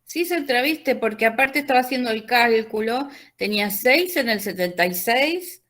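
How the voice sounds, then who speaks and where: A woman's voice: fairly high pitch, animated, normal speed, a bit bright. An Argentine woman teacher guiding students through an online class consultation, hesitant with many fillers.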